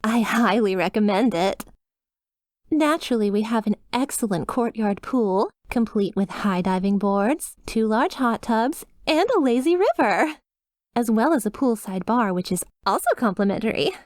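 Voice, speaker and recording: A woman's voice: fairly high pitch, lively intonation, moderate pace, a little mellow, no room sound, studio quality, natural voice. A woman voicing a chipper, sweetly reassuring demon resort receptionist with a polished, over-polite customer service voice in a scripted ASMR roleplay. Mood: delighted, eager.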